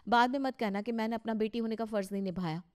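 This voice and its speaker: A woman's voice: a little high, very fast, a little mellow, smooth, voice pushed up, natural voice. A woman voicing an earnest young married daughter in a cartoon story, speaking with urgent caution. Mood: earnest warning.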